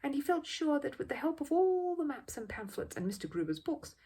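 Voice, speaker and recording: A woman reading a children's story aloud as an expressive narrator. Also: sits high, brisk. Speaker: audiobook reader.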